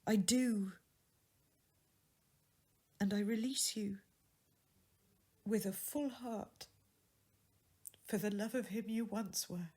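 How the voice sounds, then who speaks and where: Lady doing a sad dignified young woman for a storybook, soft and earnest, takes her time. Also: medium pitch, husky, voice pushed up. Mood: sorrowful, resolute. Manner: gentle, earnest, measured. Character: sorrowful, dignified young woman releasing her changed fiancé. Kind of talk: dramatised audiobook reading of a classic tale.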